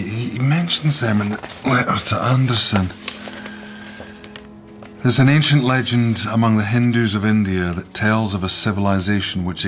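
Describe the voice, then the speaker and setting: An actor as a brooding Scottish investigator in a radio play, absorbed in his thoughts. His voice is fairly low. It is slightly muffled, with faint music underneath. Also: lightly acted. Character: brooding, preoccupied, sleepless male investigator. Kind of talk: naturalistic radio drama acting.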